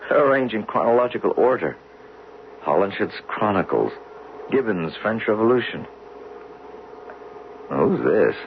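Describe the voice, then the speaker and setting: A man acting in a 1970s radio drama as a driven, learned geologist, speaking intensely and deliberately with theatrical pauses. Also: fairly low pitch, sing-song. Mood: curious, absorbed. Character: driven, learned geologist obsessed with solving a buried mystery. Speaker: actor in a radio play. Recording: dull, noisy 1970s radio recording.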